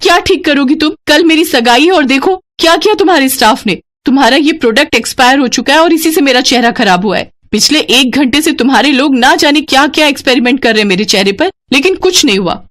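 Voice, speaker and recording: A woman's voice: high voice, lively intonation, brisk, few pauses, fairly bright tone, pitched above the performer's own voice. A woman voicing a furious bride-to-be customer in a story, indignant, accusing and threatening.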